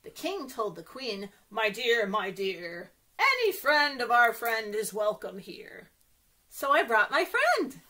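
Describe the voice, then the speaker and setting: A woman doing a children's story time, animated and sing-song, speaking straight to young listeners and asking them questions. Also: fairly high pitch, slow, rough recording. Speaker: children's librarian reading stories to kids.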